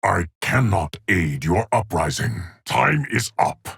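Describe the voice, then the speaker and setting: Game casting: an ageless, solemn keeper of time, low rumbling gravel, dry close sound, measured formal pronouncements. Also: male voice, sing-song, fairly bright tone, dry recording, heavily acted. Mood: stern, commanding. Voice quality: deep, gravelly, husky. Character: solemn ageless immortal male guardian of time. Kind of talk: video game character voice lines.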